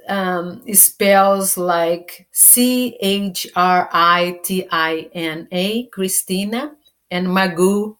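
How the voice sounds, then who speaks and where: A woman from Brazil with a Brazilian Portuguese accent, a self awareness coach talking casually to camera. She speaks in an unhurried way, searching for words and restarting often. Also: on the low side. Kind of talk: casual personal vlog.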